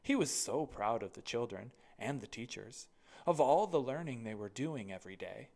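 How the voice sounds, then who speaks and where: A storyteller reading a children's picture book aloud with expressive narration. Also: medium pitch, normal speed, vocal fry.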